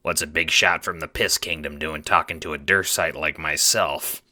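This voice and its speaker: A man playing a shady, slangy tough-guy lawman and dealer in an audio drama, with gruff talk. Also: rumbling low, fairly even intonation, normal speed, pushed and bright, full character voice. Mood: gruff, businesslike. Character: shady corrupt Dursite lawman, streetwise gangster-style dealer. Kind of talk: audio drama character acting.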